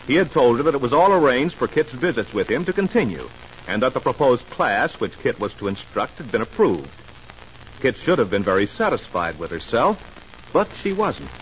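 A man's voice: medium pitch, rough recording. A man narrates a vintage 1940s radio soap opera with dramatic, widely swinging intonation. Faint noise from an old recording sits under his voice. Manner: dramatic storytelling. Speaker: announcer-narrator.

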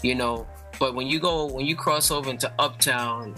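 A man from the North Bronx guests on a casual podcast, explaining at ease and peppering his talk with "you know". His voice is very bright and sharp, over faint background music. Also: medium pitch, moderate pace, low-quality recording. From North Bronx, New York. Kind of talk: casual interview.

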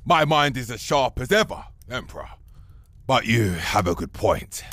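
Casting: werewolf general, comic fantasy audio drama. Husky bass, swooping delivery, blustering yet servile. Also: male voice, sing-song, moderate pace, fairly bright tone. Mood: boastful, servile. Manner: obsequious, bragging, theatrical. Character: fearsome male werewolf army commander, boastful yet servile.

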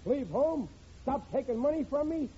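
A man's voice: very high-pitched, animated, normal speed, dark tone. An actor playing a hapless, self-pitying middle-aged working-class father in a 1940s radio comedy, grumbling plaintively in ungrammatical speech. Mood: scheming, defiant. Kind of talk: 1940s radio sitcom acting. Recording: muffled vintage radio recording, faint noise.

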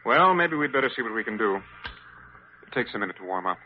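Plain-spoken engineer, dull radio sound. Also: male voice, on the low side, sing-song, fairly quick. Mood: businesslike. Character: matter-of-fact male electronics engineer. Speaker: actor in a radio drama. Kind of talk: classic American radio drama acting. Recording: dull, noisy old radio recording.

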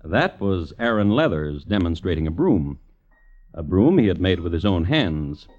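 A man hosting and narrating a vintage radio crime story in a measured, dryly wry storytelling manner. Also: deep voice, very animated, a little mellow. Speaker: host and narrator. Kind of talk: 1950s radio scripted narration. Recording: muffled vintage radio with music. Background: musical score.

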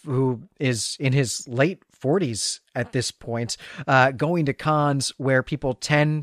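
A man co-hosting a podcast, musing about fiction conversationally and haltingly, often repeating words as he finds his phrasing. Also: medium pitch, dry recording, studio quality. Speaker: podcast co-host. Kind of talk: conversational literary discussion.

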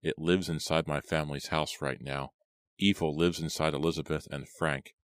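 A man's voice: a bass voice, fairly even intonation, normal speed, no room sound, clean studio sound. A man voicing a frightened, grief-stricken young man in a horror storybook, tense and questioning. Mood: brooding dread.

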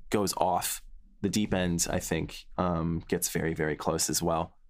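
A man with a very low-pitched voice speaks in a casual podcast discussion. He is thoughtful and searches for his phrasing, doubling back on words as he explains his creative choices. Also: steady tone, measured pace. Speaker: podcast guest, series writer. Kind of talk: casual behind-the-scenes discussion.

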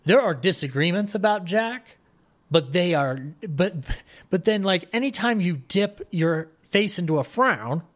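A man's voice: sits high, lively intonation, a little slow. A man chatting casually as a podcast guest, rambling enthusiastically and voicing his own thoughts aloud. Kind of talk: casual chat.

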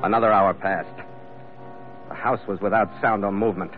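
A man's voice: lowish voice, fairly even intonation, moderate pace, lightly acted. An actor playing a tough, hot-tempered sea captain who narrates his own adventure in a terse, dramatic old-radio style. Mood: tense, restrained. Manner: hard-boiled, terse, dramatic delivery. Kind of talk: 1940s-50s radio adventure drama acting with first-person narration. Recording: dull vintage radio recording, loud music behind.

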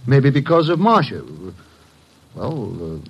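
An actor playing an earnest, insistent, suspicious young suitor, speaking politely in an old-time radio drama. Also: male voice, medium pitch, very animated, slow, husky, full character voice.

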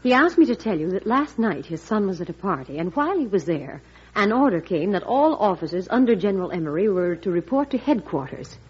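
A composed, concerned first lady in an old radio play. The voice is very husky at a middling pitch. The broadcast is muffled and lo-fi. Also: sing-song, a little fast, darkish tone. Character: concerned, composed wife of a president. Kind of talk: classic radio drama acting.

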